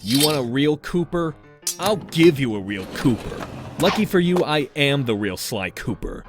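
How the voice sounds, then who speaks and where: A man's voice: medium pitch, animated, measured pace, over music, close to an everyday voice. A man playing a cocky, suave young raccoon master thief in a comic dub, with wry storytelling and a wistful streak. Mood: defiant. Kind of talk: comic dub voice acting with narration.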